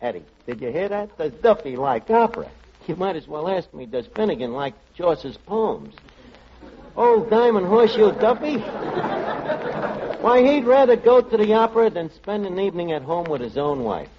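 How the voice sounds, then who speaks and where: An actor playing a scheming, wisecracking tavern manager in a 1940s radio comedy. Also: male voice, high voice, very animated, moderate pace. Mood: flustered sarcasm. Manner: wisecracking, mangled phrases. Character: fast-talking, scheming tavern manager who mangles his words. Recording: dull, noisy vintage radio recording.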